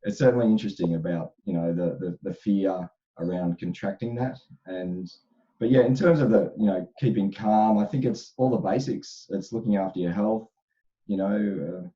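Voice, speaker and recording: A man's voice: deep voice, moderate pace, mellow, muffled recording. A man speaking as an HR expert on a panel. His voice is slightly crackly, and he answers thoughtfully with frequent restarts. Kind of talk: casual panel discussion.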